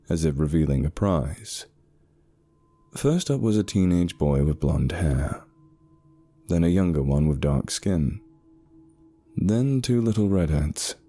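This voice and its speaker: A man reading a first-person horror story as a scripted narration, in a measured, suspenseful manner. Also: sits low, dark tone. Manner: measured, suspenseful, short pauses. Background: eerie background music.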